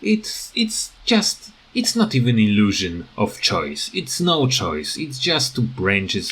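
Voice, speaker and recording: A man records loose, off-the-cuff game commentary at a markedly slow pace. His voice sits at an average male pitch. He speaks haltingly, often searching for the next word as he rambles on. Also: a bit bright, breathy edge. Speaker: gaming video creator.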